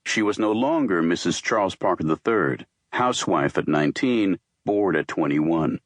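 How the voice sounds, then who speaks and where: Low, dry-sounding man reading a novel. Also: lively intonation, normal speed, no echo. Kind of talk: audiobook-style reading of a novel.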